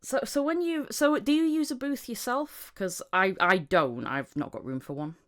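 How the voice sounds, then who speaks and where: A woman's voice: medium pitch, moderate pace. A woman podcast host and voice actor chatting casually, telling things in an anecdotal way. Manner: anecdotal, acting out reported conversations.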